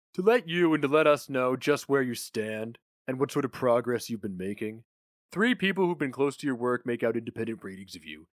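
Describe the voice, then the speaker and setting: A man voicing a brash, officious office boss in his thirties, brisk and condescending. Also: medium pitch, no echo, pitched above the performer's own voice, natural voice. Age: 30s.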